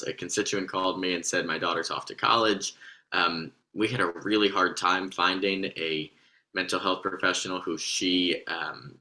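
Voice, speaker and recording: Very bright, deep-voiced man on a panel. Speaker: state senator on a panel. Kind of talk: unscripted explanatory panel remarks.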